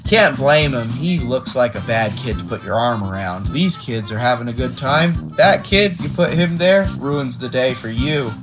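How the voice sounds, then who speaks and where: A picture book reader with an expressive, conversational delivery, tossing playful comments into the story. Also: medium pitch, moderate pace, hardly any pauses, over music. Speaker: read-aloud host. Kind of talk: animated children's picture book read-aloud with asides.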